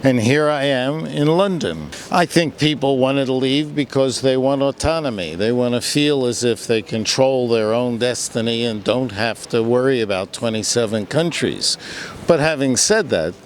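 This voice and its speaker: A man's voice: mid-range voice, normal speed. Older American man, noisy street. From United States. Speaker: interviewee, retired scientist. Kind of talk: street interview. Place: outdoors on a London street. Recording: clear urban street noise.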